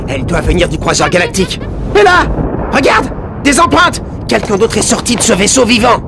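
A man's voice: high-pitched, big pitch swings, a little fast, vocal fry, background noise, heavily acted. A man voicing a young, casual, slangy male alien hero in a dubbed video game.

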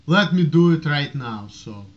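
A man's voice: on the high side, measured pace. A man in his thirties with a strong Russian accent, ranting casually to a webcam in a small room and waving his arms as he talks. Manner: animated, waves his arms, rambling restarts. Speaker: vlogger. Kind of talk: casual rant to camera. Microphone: webcam at desk. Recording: dull lo-fi webcam audio.

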